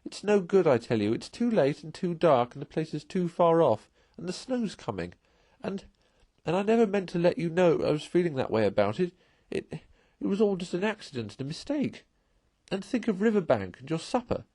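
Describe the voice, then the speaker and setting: A man's voice: fairly high pitch, very animated, normal speed, mellow, no echo, voice pushed up. A man voicing a timid, homesick storybook mole who pleads in a broken, sobbing way. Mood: alarmed, pleading.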